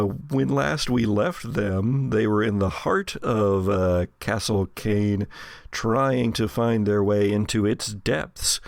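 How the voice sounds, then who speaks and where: A man hosting a tabletop game podcast as game master, mixing dramatic, deliberate narration with casual, dryly humorous chat. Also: lowish voice, vocal fry, dry recording, studio quality. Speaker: host and game master of an actual play podcast.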